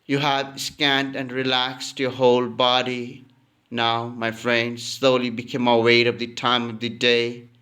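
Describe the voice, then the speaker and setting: A man guides a relaxation practice in a very smooth, resonant voice. His pitch barely moves, staying steady and monotone. He delivers calm instructions at an unhurried, slow pace, often repeating a phrase. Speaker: yoga nidra teacher.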